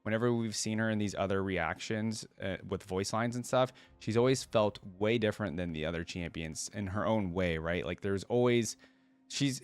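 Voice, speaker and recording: A man hosting a reaction video, reading a story aloud and adding casual, conversational commentary. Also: low-pitched.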